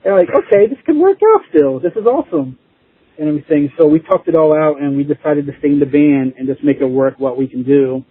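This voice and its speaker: A man chatting casually as an interview guest, informal and rambling, with a husky voice. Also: fairly high pitch, animated, quick pace, dry recording. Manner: informal, rambling, chuckling easily. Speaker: interview guest, band musician. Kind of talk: casual chat. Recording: tinny phone-like line.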